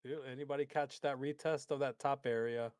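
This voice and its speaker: A man's voice: mid-range voice, steady tone, a little fast, a little mellow. A man hosting a live trading stream, talking through charts and chatting with an easy laugh. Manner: chatty, laughs easily, thinks aloud. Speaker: host trader.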